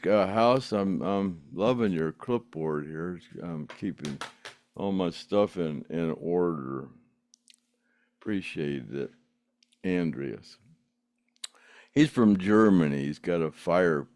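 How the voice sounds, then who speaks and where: Older man, slow unhurried talk, rambling host with chuckles. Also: deep voice, darkish tone, no echo. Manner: rambling, laughs often, many fillers and restarts. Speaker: podcast host reading live chat. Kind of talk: casual chat.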